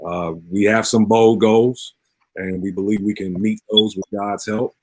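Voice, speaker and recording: A man's voice: lowish voice, fairly even intonation, unhurried, no room sound, low-quality recording. A man in his forties, a pastor speaking earnestly as an interview guest, repeating phrases for emphasis in a preacher-like way. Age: 40s.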